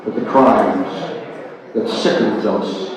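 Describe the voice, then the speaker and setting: A man in his eighties reading and introducing his own poetry at a live event, with deliberate, measured phrasing and pauses. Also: on the low side. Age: 80s. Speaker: poet and author reading own work. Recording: very echoey hall, distant microphone, faint noise.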